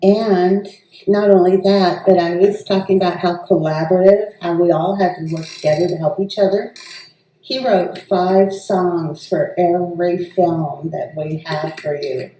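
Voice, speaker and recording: Mellow woman, distant and echoey. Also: on the low side, steady tone, unhurried, slight background noise. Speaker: film mentor. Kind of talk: casual anecdotal explanation. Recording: echoey room, distant mic.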